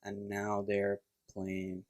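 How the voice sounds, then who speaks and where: A man from Puerto Rico with a Puerto Rican accent, a chiropractor guest telling his story in a casual interview, relaxed and plain with fillers. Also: lowish voice, drawn-out words, no room sound, clean studio sound. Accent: Puerto Rican Spanish.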